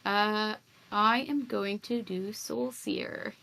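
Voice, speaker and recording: A woman's voice: mid-range voice, fairly even intonation, takes it slowly, pushed and bright. A woman chatting casually as a player in a tabletop game, deciding her moves a little tentatively. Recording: clearly noisy mic with clicks.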